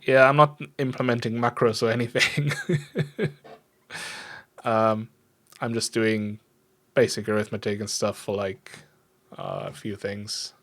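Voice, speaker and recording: A man with a fairly low voice and a German accent streams a coding session. He talks in a casual, easygoing way, poking fun at himself as he explains what he is doing. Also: lively intonation, a little slow, long pauses, fairly bright tone. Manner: easygoing, self-deprecating, chuckles often. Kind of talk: casual livestream chat while programming. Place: indoors at a computer desk.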